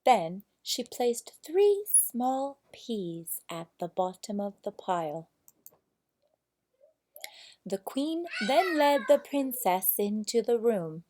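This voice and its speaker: A woman narrating a children's fairy tale from a storybook, with deliberate, expressive phrasing. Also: fairly high pitch. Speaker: storybook reader.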